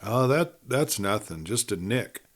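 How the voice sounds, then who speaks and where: A man's voice: lowish voice, lively intonation, a little slow. A man voicing a wounded young outlaw, gloomy, hardened and plain-spoken, in a western story. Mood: grim, dismissive.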